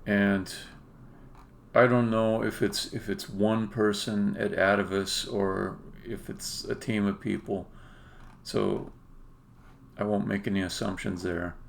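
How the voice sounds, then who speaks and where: A man who develops indie games, talking casually and haltingly as he thinks aloud through a live-stream demo. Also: fairly low pitch, steady tone, creaky, slight background noise.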